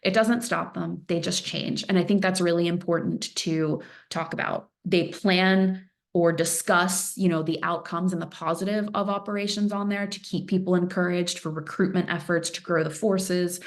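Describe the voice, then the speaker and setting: An earnest woman gives a webinar briefing as an analyst, leaning on words and repeating phrases to drive points home. Her voice sits a little low for a woman, and she talks steadily with the odd "um". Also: fairly even intonation, normal speed, vocal fry, hardly any pauses. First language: Farsi speaker. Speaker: threat intelligence analyst presenting. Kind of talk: webinar presentation.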